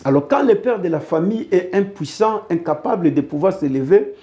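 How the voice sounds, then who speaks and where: A man's voice: sits high, lively intonation, fairly quick, mellow, dull audio. A man from Congo speaking earnestly in a political interview, pressing his points by repeating phrases. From Congo (DRC). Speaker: interview guest.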